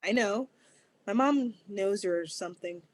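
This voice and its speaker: A woman's voice: mid-range voice, measured pace, dry recording, close to an everyday voice. A woman playing a wry young woman. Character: young woman April, teasing, with a well-connected mom. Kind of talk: table read acting.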